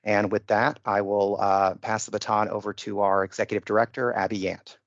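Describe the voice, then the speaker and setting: A man's voice: on the low side, little pitch movement, a little fast, dry recording. A man methodically giving a formal procedural briefing as an official on a conference call, stressing the rules. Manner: methodical, emphatic about rules. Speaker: procurement official leading a bid conference call. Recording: muffled, dull conference-call audio.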